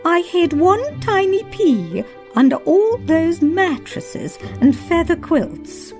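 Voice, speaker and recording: A woman playing a shrewd, kindly queen in a children's storybook, speaking in a gracious, theatrical and deliberate way. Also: extremely high pitch, very animated, darkish tone, exaggerated voice. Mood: gracious, pleased. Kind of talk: children's interactive storybook acting. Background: faint music.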